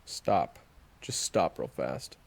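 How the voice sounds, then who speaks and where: A man playing a gruff, jaded vampire hunter in an audio roleplay, blunt and sternly protective as he gives terse orders. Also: sits low, sing-song, slow pace, full character voice. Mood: curt, commanding. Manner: blunt, terse, commanding, cursing. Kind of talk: audio roleplay acting addressed to the listener.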